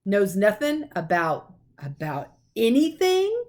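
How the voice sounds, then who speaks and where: A woman's voice: mid-range voice, wildly swooping pitch, slow pace, a little mellow. A woman voicing a big, rustic giant man, kindly but easily outraged, in thundering, folksy exclamations for a storybook.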